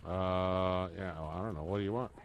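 A man's voice: low voice, steady tone, a little fast, lo-fi sound. A man talking casually with his teammates in a video game. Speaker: gamer on a team. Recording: faintly noisy game voice chat.

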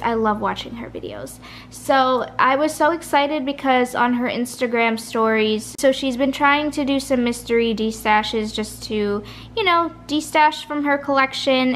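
Tired, soft-spoken woman vlogger, rambling. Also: on the high side, a little hiss or hum. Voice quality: soft, a little raspy. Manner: rambling, chatty. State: tired, late at night. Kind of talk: casual chat. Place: kitchen at home. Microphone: camera at a kitchen table.

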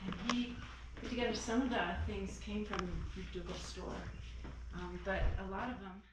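A woman's voice: mid-range voice, moderate pace. A woman guide in a small museum explaining the collection to a visitor in a friendly, slightly hesitant way. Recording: distant, very echoey room with noise.

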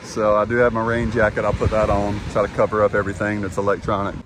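A man, hosting a casual fishing vlog, chatty and excitable when a fish hits, filmed on an action camera outdoors on a beach. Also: lowish voice, fast. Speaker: fishing channel host. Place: open ocean beach outdoors in wind and rain. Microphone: action camera, chest or handheld. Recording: windy beach with loud surf noise.

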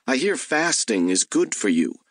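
A male narrator plays an exasperated detective in an urban fantasy audiobook. His mid-pitched voice is sarcastic and indignant, recorded dry and close. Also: very animated, normal speed, dry recording.

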